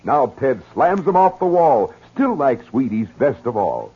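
A man reads a 1950s radio commercial in an upbeat, sing-song way. His voice is very husky and swoops across a wide range. The old recording is dull and lo-fi. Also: mid-range voice, sing-song, a little slow. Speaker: commercial announcer.

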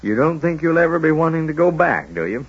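A man playing a kindly, gently moral small-town family doctor in old-time radio drama, speaking in a folksy, hesitant, musing way. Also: on the high side, very animated, fairly quick, husky, heavily acted. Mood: warm, hesitant. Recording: dull old radio broadcast recording.

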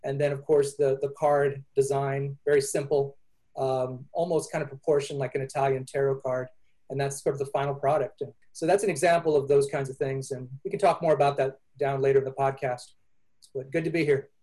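A man's voice: medium pitch, fairly even intonation, brisk, warm tone, poor audio. A man, an illustrator, talking through his drawings in a rambling way with many restarts. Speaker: illustrator guest. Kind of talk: panel discussion on a call.

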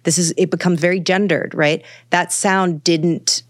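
Low-voiced woman, musing guest. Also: fairly even intonation, unhurried, dry recording, studio quality. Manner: thoughtful, meandering, many fillers and restarts. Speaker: author and podcast guest. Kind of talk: casual conversational interview.

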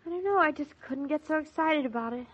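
A woman's voice: high voice, fast, close to an everyday voice. An actress playing a girlish, playful young newlywed wife in a 1940s American radio drama, chattering naively and animatedly. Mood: complaining. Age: young adult. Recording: muffled 1940s radio recording.

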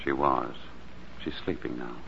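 An actor playing a grave, protective doctor with a measured manner, in 1940s radio drama style. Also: male voice, a bass voice, level tone, creaky, background noise, heavily acted. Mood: restrained. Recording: dull 1940s radio recording, loud music behind.

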